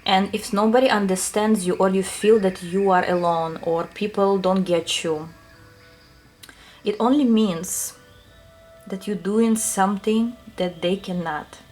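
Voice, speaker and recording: A woman with a Russian accent, a tarot reader answering her viewers' questions in a soft, unhurried casual talk full of small fillers. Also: mid-range voice, fairly even intonation, faint music behind.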